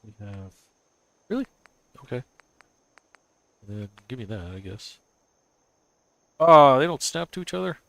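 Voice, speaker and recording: A man's voice: mid-range voice, very animated, a little slow, creaky, pauses often, a bit bright, dry recording, rough recording. A man with a cold, stuffy and sniffly, casually thinking aloud as he builds in a game on a live stream. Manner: thinks aloud, muttering to himself and chuckling.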